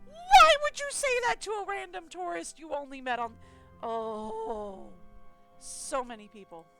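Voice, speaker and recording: A woman's voice: very high voice, very animated, unhurried, over loud music. A woman streaming a game live, commenting in a chatty, sarcastic, self-mocking way.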